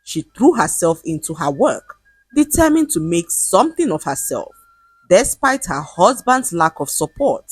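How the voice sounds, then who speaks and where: A woman narrating a scripted folktale in a measured, expressive way. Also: lowish voice, breathy edge, dry recording, rough recording. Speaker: storyteller.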